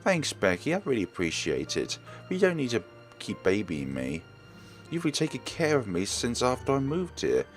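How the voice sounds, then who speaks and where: Man reading as a stubborn young woman, animated. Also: lowish voice. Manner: protesting, earnest. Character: stubborn, proud young woman, caring best friend. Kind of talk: visual novel dialogue read aloud.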